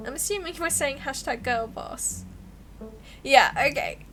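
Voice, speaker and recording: A woman livestreamer giving casual, expressive, giggly game commentary and reading the game's text aloud. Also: sits high, a little slow, faint music behind. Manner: giggly, expressive, reacts out loud.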